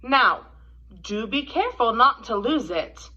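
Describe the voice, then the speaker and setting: A woman voicing a gentle, loving mother raccoon from a storybook, soothing and reassuring. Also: fairly high pitch, animated, exaggerated voice. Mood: gently teasing. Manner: tender, soothing, deliberate. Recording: dull, lo-fi sound.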